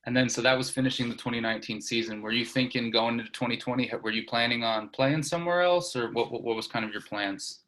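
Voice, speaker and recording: A man's voice: medium pitch, fast talker, hardly any pauses, fairly bright tone. A man hosting a casual interview, asking loose, rambling questions. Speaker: interviewer, host.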